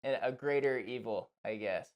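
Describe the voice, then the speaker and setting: A man's voice: mid-range voice, normal speed, very noisy. A man hosting a podcast, asking questions and chatting casually with his guest. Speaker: podcast host, interviewer. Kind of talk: casual interview chat.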